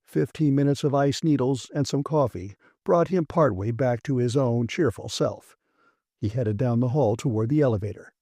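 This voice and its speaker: A man's voice: medium pitch, normal speed, dark tone, no echo, clean studio sound. A man narrating a science fiction audiobook as scripted reading.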